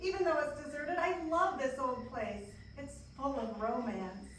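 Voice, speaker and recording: Sweet, playful small-town sweetheart of eighteen, high voice, radio play in a very echoey hall. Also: female voice, unhurried, a bit bright. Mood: playful, dreamy. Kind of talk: live radio play acting. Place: live stage in a dance hall. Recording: very echoey hall, distant mic, faint noise.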